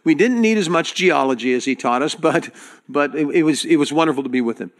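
A man preaching to his congregation as their pastor, speaking earnestly and directly with halting restarts. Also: fairly high pitch. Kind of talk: sermon.

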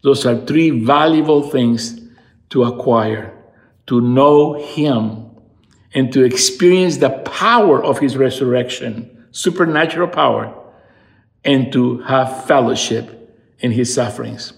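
A man's voice: medium pitch, slow, vocal fry. A man, giving an earnest devotional sermon as a pastor and rising to fervent emphatic peaks. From Peru. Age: 60s. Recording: echoey room.

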